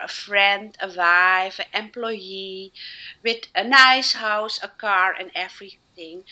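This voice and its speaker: A middle-aged woman from Suriname whose first language is Dutch, speaking as a guest on personal development and pausing often to find her words. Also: mid-range voice, sharp tone, poor audio. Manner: earnest, with frequent fillers and restarts. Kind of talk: interview answers.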